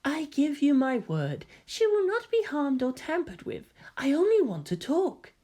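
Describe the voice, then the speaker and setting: A woman voicing a coy, androgynous hybrid youth with genteel, gently teasing politeness and quiet menace. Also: high-pitched, huge pitch swings, a little slow, voice pushed up, exaggerated voice. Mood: polite, calculating.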